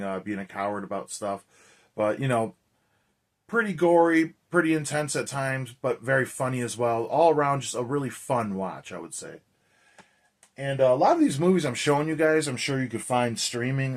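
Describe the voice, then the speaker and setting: Hoarse, chatty male horror vlogger. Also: medium pitch. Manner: energetic, chatty, loose with fillers. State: hoarse, raspy from yelling. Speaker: horror movie YouTuber. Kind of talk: casual vlog chat.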